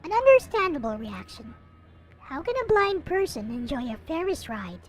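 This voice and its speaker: A woman reading a visual novel aloud in an expressive, theatrical way, like a dramatic narrator. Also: sits high, moderate pace. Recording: music playing behind the voice. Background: visual novel soundtrack music.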